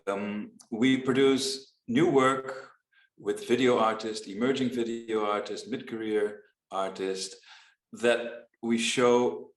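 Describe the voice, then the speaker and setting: A man on a video call who talks about his foundation's work in an unhurried, reflective way, with frequent pauses and ums. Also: medium pitch, fairly even intonation, echoey room, rough recording. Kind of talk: casual video-call conversation.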